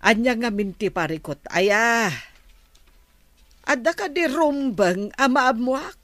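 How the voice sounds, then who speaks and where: A woman acting an older Filipino mother in a radio drama, patient, concerned and gently scolding, with a Filipino accent. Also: fairly high pitch, animated, slow pace, no room sound. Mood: gently admonishing.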